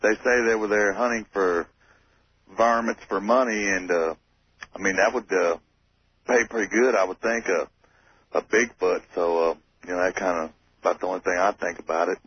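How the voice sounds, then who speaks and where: A man calling in to a radio show, drawling and skeptical, speaking haltingly. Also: deep voice, fairly even intonation, a little hiss or hum. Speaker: caller. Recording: phone-in call on dull radio.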